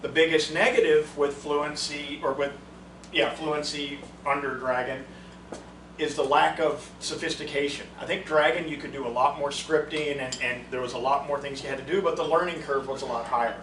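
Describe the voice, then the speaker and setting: A man's voice: a little high, fairly even intonation, moderate pace, fairly bright tone, a little hiss or hum. A man giving a casual, chatty training talk to a group, restarting his sentences often, recorded from a distance in a meeting room. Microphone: room mic, far from the speaker. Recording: echoey room recorded from far off.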